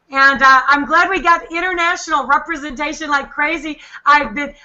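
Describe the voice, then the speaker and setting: A woman's voice: sits high, sharp tone. A woman hosting an online panel call, upbeat and full of effusive praise for her guests. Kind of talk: upbeat network marketing hosting. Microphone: video call. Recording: lo-fi video call audio.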